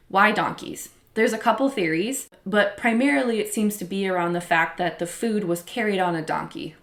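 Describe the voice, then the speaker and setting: A young adult woman hosting a solo podcast, chatty, with self-deprecating asides. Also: mid-range voice. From United States. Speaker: podcast host.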